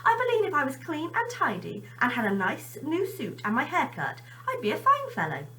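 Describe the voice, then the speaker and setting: Old storybook grouch, woman performing: lonely, musing aloud, lively pitch, bright tone. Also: brisk, exaggerated voice. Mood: musing, hopeful. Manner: musing to himself. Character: lonely, cross old man softening, in a storybook.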